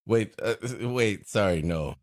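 A male comedy podcast host with a fairly low voice and animated, swinging intonation. He improvises in a casual, jokey way, often mock-serious. The sound is close and dry. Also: slow pace, no room sound. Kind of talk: improvised comic riffing in casual chat.

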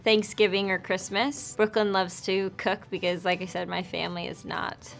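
Woman, 20s, casual talker, mid-pitched, laughs easily, soft music bed under her. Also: level tone, moderate pace, creaky. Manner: chatty, laughs often, filler "like". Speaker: interviewee. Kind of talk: casual chat to camera. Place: indoor studio before a dark backdrop.

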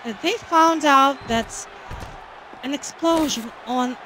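A woman's voice: high-pitched, slow pace, fairly bright tone, slight background noise, poor audio. A woman giving rambling, casual commentary over a wrestling video game, with many fillers. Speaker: gameplay commentator.

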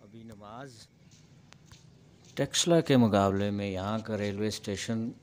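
A man's voice: on the low side, a little slow. A middle-aged man, chatting like a tour guide while he films a train journey on a phone. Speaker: vlogger. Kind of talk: travel vlog narration. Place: outdoors at railway stations in rain. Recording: loud outdoor noise.

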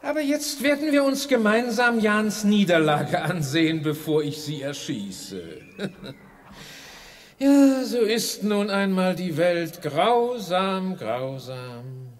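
An actor playing a gloating mad genius villain in a children's sci-fi radio drama, mock-courteous and theatrical. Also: male voice, high-pitched, very animated, takes it slowly, full character voice. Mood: gloating, menacing.

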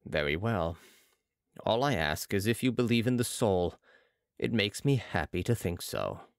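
A reader voicing a solemn, mysterious Italian master who speaks gravely and quietly in an audiobook. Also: low-pitched, sing-song, measured pace, no room sound, studio quality, voice pushed down, full character voice. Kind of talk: audiobook character reading.

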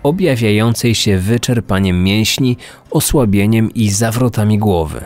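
A man's voice: on the low side, unhurried, a little mellow. A man hosting a true-crime podcast in scripted narration. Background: music under the voice.

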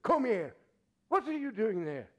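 Casting: bitter old male miser, high-pitched, very animated, grumbling and contemptuous, radio-play style. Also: unhurried, slight background noise. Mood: irritable, barking. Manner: grumbling, contemptuous, with mocking laughs. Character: bitter old miser, scornful and snappish businessman. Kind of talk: live staged reading of 1930s radio drama. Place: theatre stage, live performance.

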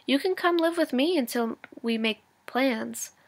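A woman's voice: high voice, unhurried. A woman voicing a blunt, practical country doctor in a storybook reading, speaking firmly and matter-of-factly.

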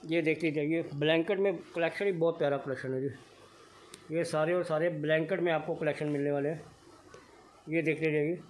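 A man's voice: fairly high pitch, fairly even intonation, a little fast, a little hiss or hum, rough recording. A man filming a shop walkthrough on his phone, praising the goods in upbeat, repetitive sales patter. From Punjab, India. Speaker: shop video vlogger. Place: indoor blanket shop.

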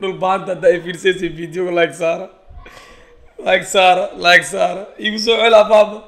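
A man's voice: high-pitched, quick pace. A young man giving reaction commentary on a clip, laughing often and mimicking the people he watches. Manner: animated, laughing, mimicking others. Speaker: comedy YouTuber. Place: small indoor home studio.

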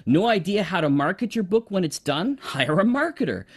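A man giving an enthusiastic, emphatic promotional pitch in a conversational explainer style. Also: big pitch swings, moderate pace, slightly rough. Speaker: presenter promoting an online marketplace.

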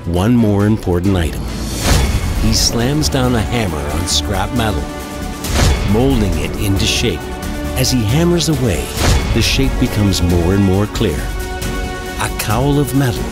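A man's voice: lowish voice, animated, unhurried. A man narrating a scripted audio drama as a dramatic storyteller. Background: prominent music.

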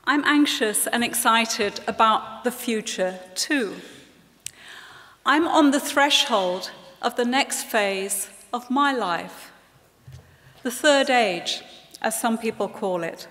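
A woman's voice: fairly high pitch, animated, sharp tone. A woman in her sixties giving a formal, earnest speech to graduating students from a lectern. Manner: measured, earnest, addresses the students directly. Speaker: guest speaker at a leavers' ceremony. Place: large hall. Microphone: lectern microphone. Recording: reverberant hall.

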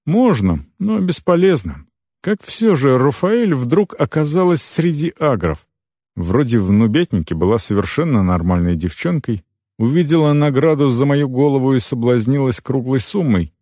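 A man reading a first-person fantasy novel aloud as an audiobook narrator, with expressive storytelling. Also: mid-range voice, normal speed, no echo, clean studio sound.

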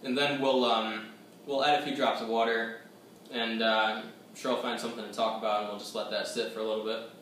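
A man's voice: fairly low pitch, little pitch movement, a little fast, a bit bright, slight background noise. A young man in his twenties giving a casual whiskey tasting review to the camera, rambling and hesitant with many fillers, seated at a table in a small room at home. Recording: echoey room, voice a bit distant.

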